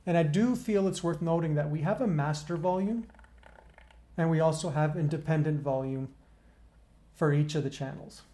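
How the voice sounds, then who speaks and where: A man with a deep, bassy voice hosting a casual gear review, chatty and upbeat as he walks through features step by step. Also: normal speed, mellow. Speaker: gear reviewer and channel host.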